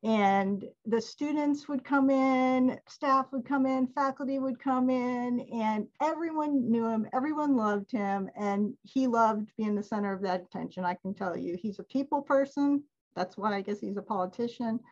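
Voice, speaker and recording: A woman's voice: a little high, normal speed, hardly any pauses. Chatty woman on a muffled video call. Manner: warm, chatty, laughs often. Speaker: alumni event presenter. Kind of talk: casual webinar talk.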